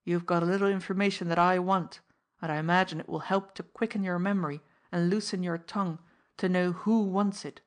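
A woman voicing a masked gentleman thief who speaks softly, coolly and with mocking politeness. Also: fairly low pitch, fairly even intonation, a little fast, natural voice. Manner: soft, cool, politely mocking.

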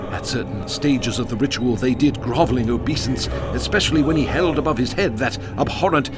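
A man narrating a horror radio drama in an ornate, measured, theatrical manner. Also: medium pitch. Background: music.

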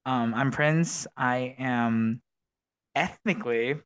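A man's voice: mid-range voice, slow pace, fairly bright tone, smooth. A man hosting a casual podcast, rambling with many fillers and restarts. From Filipino American, living abroad. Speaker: podcast host.

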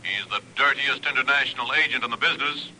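Sharp, forceful intelligence chief. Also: male voice, mid-range voice, quick pace, low-quality recording. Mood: brisk, puzzled. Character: emphatic male intelligence chief, briefing his agent. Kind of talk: 1950s radio drama acting.

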